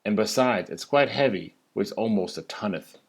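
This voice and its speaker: A man reading a children's rhyming picture book aloud like a teacher, with a theatrical, singsong delivery and drawn-out emphasis. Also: on the low side, lively intonation, breathy edge.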